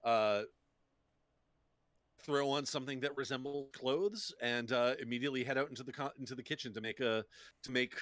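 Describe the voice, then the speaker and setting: A man playing a tabletop roleplaying game, casually describing his character as he thinks out loud. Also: medium pitch, moderate pace, dry recording.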